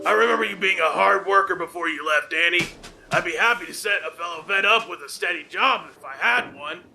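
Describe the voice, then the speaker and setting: Sleazy, creaky old bowling alley boss. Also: male voice, high-pitched, fairly quick, faint music behind, full character voice. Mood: glib. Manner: hearty, lewd, loud greetings. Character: sleazy, crude, jovial older bowling alley owner. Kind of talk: noir radio play acting.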